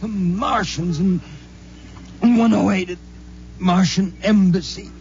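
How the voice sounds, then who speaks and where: An actor playing an excitable private detective in over his head, halting and emphatic, in a 1950s radio drama. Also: high voice. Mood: desperate pleading. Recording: muffled, hissy 1950s radio recording.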